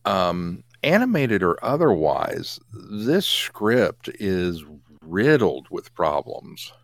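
A man with a low voice talks casually as a podcast co-host. He gives opinions freely, restarting phrases as he goes. The sound is dry, with very little room in it. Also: big pitch swings, takes it slowly, no echo. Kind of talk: casual discussion and review.

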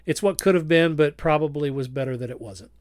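A man's voice: fairly high pitch, fairly quick, darkish tone, dry recording. A man hosting a casual review discussion, feeling his way through his points in a hesitant, circling way. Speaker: podcast host.

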